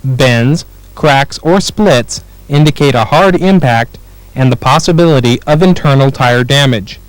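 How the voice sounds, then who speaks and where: A man's voice: mid-range voice, no echo, poor audio. American man narrating dryly recorded instructional film. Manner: clear, measured, instructional delivery.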